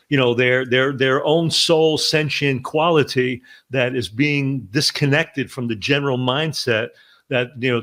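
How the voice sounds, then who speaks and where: A man's voice: mid-range voice, a bit bright. A man hosting an interview, asking long, earnest questions full of restarts. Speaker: podcast host.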